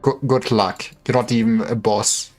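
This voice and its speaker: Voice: mid-range voice, steady tone, normal speed. A livestreamer giving casual, wry commentary on a game, with joking asides. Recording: faint music behind. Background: game music.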